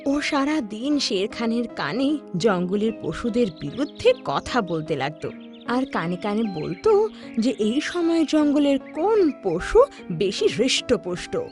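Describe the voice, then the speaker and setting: Animated, high-voiced woman storyteller. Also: a little slow. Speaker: narrator. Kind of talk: scripted children's story narration. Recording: background music under the voice.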